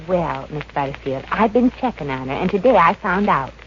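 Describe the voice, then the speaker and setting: A woman's voice: fairly low pitch, animated, a little fast. An actress playing a good-natured, wisecracking working woman in a 1950s radio comedy, with a wry, breezy manner. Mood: wry, sheepish. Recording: muffled, noisy old radio recording.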